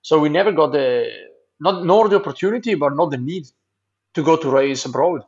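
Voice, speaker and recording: A man in his thirties from Italy with an Italian accent tinged with Flemish, answering interview questions in halting English and often restarting words. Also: a little high, a little mellow, husky, highs cut off. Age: 30s. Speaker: professional cyclist, guest.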